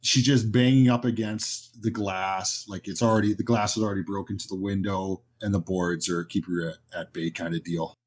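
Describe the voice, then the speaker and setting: A man running a horror tabletop game, describing scenes informally and haltingly as game master. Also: low-pitched, steady tone.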